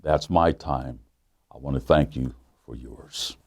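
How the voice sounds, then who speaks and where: A man, a pastor, reading a children's book aloud in a measured, emphatic way with dramatic pauses. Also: a bass voice, vocal fry, very noisy. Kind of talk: storybook read-aloud for children.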